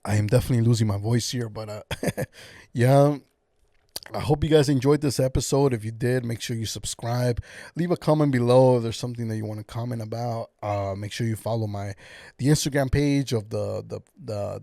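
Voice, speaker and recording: A man's voice: medium pitch, creaky, dark tone, dry recording, clean studio sound. A young man hosting his own podcast, talking alone in a casual, encouraging way that rambles with lots of filler words. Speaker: podcast host. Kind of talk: casual solo podcast monologue.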